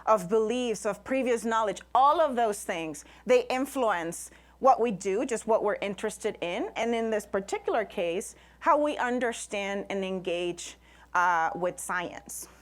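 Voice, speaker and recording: A woman's voice: medium pitch, a little slow. A woman from Rico, a Spanish speaker, giving a live public presentation in an emphatic way, often listing in short phrases. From Puerto Rico. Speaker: invited speaker, science communicator.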